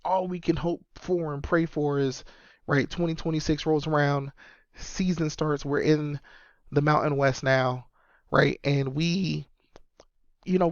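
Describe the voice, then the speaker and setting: 30s guy doing a solo sports podcast, kinda high voice, repeats stuff for emphasis, dull dry lo-fi audio. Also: no echo. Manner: emphatic, repeats points for stress. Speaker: podcast host and sports fan.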